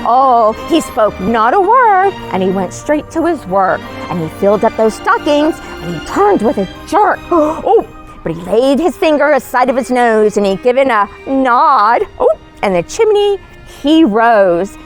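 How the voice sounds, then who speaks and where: A woman plays a kindly Christmas storyteller with a high, very lively voice. She reads a storybook to children full of wonder and exclamation, with music playing behind her. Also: measured pace, low-quality recording. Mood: wondering, excited. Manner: exclamatory, wondering, gasps and oohs. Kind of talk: animated storybook reading to children.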